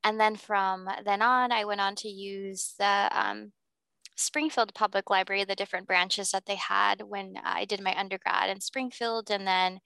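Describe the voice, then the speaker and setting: A young woman from Missouri, a community liaison guest, chatting in a relaxed way. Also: mid-range voice, moderate pace, vocal fry, smooth, dry recording.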